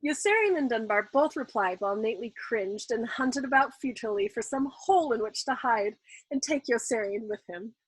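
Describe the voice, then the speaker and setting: A woman reading a novel aloud as a storyteller, in expressive narration. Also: on the high side, brisk, husky, no room sound, poor audio. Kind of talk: expressive audiobook-style narration.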